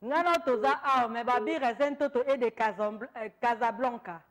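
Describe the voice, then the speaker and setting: A woman's voice: fairly high pitch, moderate pace, fairly bright tone. A woman hosting a radio call-in show, asking short questions and mixing in Lingala. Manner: short prompts, mixes French and Lingala. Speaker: radio host.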